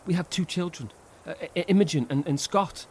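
Male actor for a panicked infected husband, slow and stammering, high voice over a light hiss. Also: a little mellow, natural voice. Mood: distraught. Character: frightened husband infected by alien growth. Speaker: lead character. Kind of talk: horror audio drama acting.

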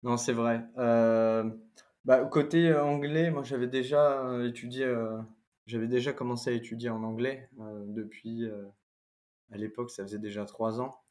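A young man from France talking casually about studying abroad, halting with frequent fillers. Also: medium pitch, steady tone, a little mellow. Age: young adult. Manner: halting, frequent "euh" fillers, occasional laughs. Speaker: former exchange student. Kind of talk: casual podcast conversation.

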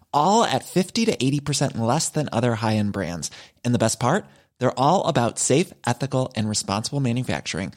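A man reading an informal scripted advertisement as a podcast host. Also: mid-range voice.

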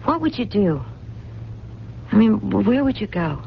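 An actress playing a blunt, stubborn waitress in a radio drama, with an American accent and plain, earnest, colloquial speech. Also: female voice, medium pitch, sing-song, moderate pace, gravelly. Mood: hopeful, coaxing. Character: brassy, stubborn working-class diner waitress, yearning and protective. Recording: dull, noisy old radio broadcast.

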